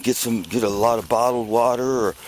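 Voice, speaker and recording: A man's voice: mid-range voice, brisk, slight background noise, rough recording. A man giving a rambling, off-the-cuff monologue as a vlogger, with a sarcastic, mock-earnest manner.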